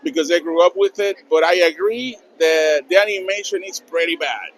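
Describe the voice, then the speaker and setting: A man with a Mexican accent asks fans quick questions in a busy convention hall, his voice sitting a bit high. He talks in a friendly way, often stumbling and restarting his sentences. A faint hum of the crowded hall stays behind him. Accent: Mexican Spanish. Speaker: interviewer and channel host. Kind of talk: casual fan interviews at a convention.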